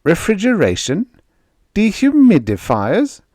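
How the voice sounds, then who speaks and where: Man voicing an agitated husband, pitched up. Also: wildly swooping pitch, a little slow, darkish tone, dry recording, clean studio sound, heavily acted. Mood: incredulous. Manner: agitated, questioning. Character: anxious, impatient husband and father stowed on a spaceship. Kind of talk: audiobook character voice.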